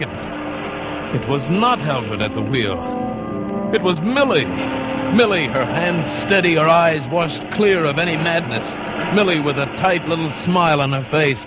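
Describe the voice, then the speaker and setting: An old, muffled radio recording with music swelling behind an adventurous American skipper. His voice swings widely in pitch, urgent and protective, in the manner of a 1940s radio drama hero. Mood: grave, moved. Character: adventurous sailor skipper narrating a perilous escape, urgent and protective. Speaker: narrator and lead actor.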